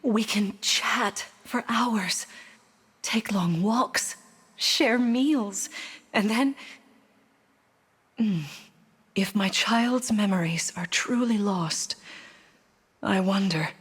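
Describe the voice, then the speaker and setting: An actress playing a gentle, regal mother queen in a video game, speaking in a tender, formal, measured way. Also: mid-range voice, husky, no room sound. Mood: loving, pensive. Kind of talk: scripted video game voice acting.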